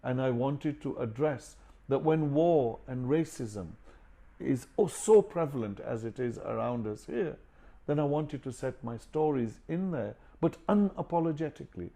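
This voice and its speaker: A man's voice: mid-range voice, lively intonation, a little slow, creaky, warm tone. A man born in Pakistan, an author and publisher, speaking earnestly and outspokenly in an interview. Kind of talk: reflective interview talk.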